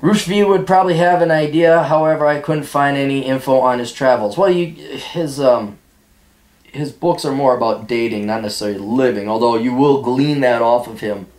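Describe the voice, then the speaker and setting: A man hosting a podcast, answering listener questions in a blunt, sarcastic way and mocking some of them in high put-on voices. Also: moderate pace, a little mellow, reverberant. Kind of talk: blunt casual monologue reading out and answering listener mail.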